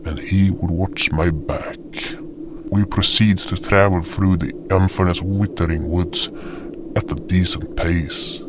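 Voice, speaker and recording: A man playing a gentle, proud, hulking beast guardian who tells his own story deliberately in a fantasy audio drama. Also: deep voice. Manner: slow, deliberate storytelling with hesitations. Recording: noticeable background noise.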